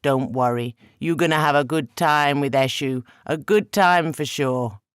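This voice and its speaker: A man's voice: a little high, unhurried, clear, resonant tone, no echo, studio quality. Eshu, a man doing a sneering trickster spirit. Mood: taunting. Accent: halting, overpronounced. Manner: loud, taunting, playful. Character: mischievous male trickster spirit, sneering, boastful.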